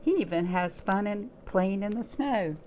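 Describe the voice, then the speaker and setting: A woman's voice: medium pitch, expressive, unhurried, warm tone, a little hiss or hum. A woman leading a library storytime, chatting encouragingly to young children and asking them simple questions as she reads aloud.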